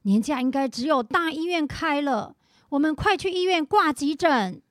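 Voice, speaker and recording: A woman's voice: high-pitched, animated, normal speed, no echo, clean studio sound. A woman playing a fretful, caring mother of two in a Taiwanese Mandarin children's radio drama. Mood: anxious, urgent. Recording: clearly noisy background.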